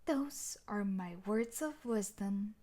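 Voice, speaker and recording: A woman's voice: medium pitch, noisy background. A woman playing a tough, sadistic, possessive bully fiancée in a dramatic audio roleplay, scolding and emotionally intense. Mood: menacing, smug.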